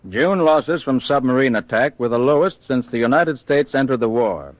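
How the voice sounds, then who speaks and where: A man's voice: medium pitch, expressive, moderate pace, dry recording, muffled recording, close to an everyday voice. An actor playing an official spokesman reading a formal war statement in a radio drama. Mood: factual, satisfied.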